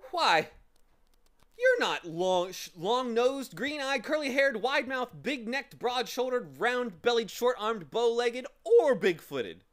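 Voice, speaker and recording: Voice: medium pitch, sing-song, takes it slowly, pitched above the performer's own voice. A narrator voicing an indignant, plain-spoken young boy in a storybook, exclaiming in complaint.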